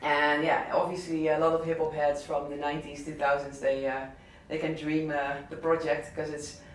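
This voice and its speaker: Dutch guy w a brabant accent rambling about records, lots of uhs, kinda high voice, roomy echo from off mic. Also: little pitch movement, normal speed, a little hiss or hum. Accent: Dutch, southern Brabant. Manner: hesitant, many fillers and restarts. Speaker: DJ and record selector. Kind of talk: casual talk about favourite records. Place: indoor listening session. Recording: echoey room, mic at a distance.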